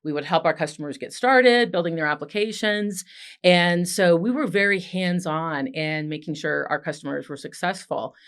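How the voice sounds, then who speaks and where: A woman in her fifties who is a podcast guest. She speaks loudly and outspokenly and tells her career story in long runs. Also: fairly low pitch, normal speed, clean tone. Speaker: guest, software engineering leader. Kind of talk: casual podcast interview.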